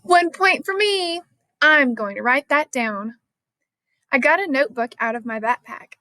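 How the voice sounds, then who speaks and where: Higher-pitched woman reading a children's story. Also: expressive, a little slow, pushed and bright, no echo, clean studio sound. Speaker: storybook reader. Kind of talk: scripted read-aloud narration of a children's science story.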